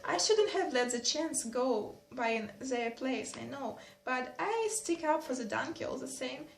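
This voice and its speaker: A woman's voice: sits high, animated, measured pace, vocal fry, exaggerated voice. A woman reading a mild, hesitant young prince. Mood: gentle. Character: gentle, good-natured young nobleman, a prince. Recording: roomy echo.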